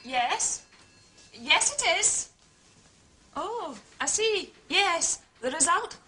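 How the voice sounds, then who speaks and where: An actress playing a brisk female police assistant in a radio drama. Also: extremely high pitch, very animated, bright, forceful tone, slight background noise, heavily acted. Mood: brisk, informative. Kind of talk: British radio drama acting.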